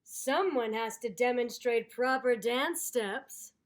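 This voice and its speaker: A woman voicing a pesky, excitable chicken narrator in a picture book, fussy, theatrical and coy. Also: fairly high pitch, slow. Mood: flustered, coy. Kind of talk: picture book read-aloud.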